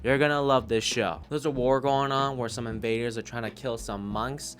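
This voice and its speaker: A man's voice: medium pitch, steady tone, moderate pace. Gushing man reviewer over background music. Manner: gushing, emphatic, freely swearing. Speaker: YouTube reviewer and host. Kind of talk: casual enthusiastic review, recommending audio dramas. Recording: music playing under the voice.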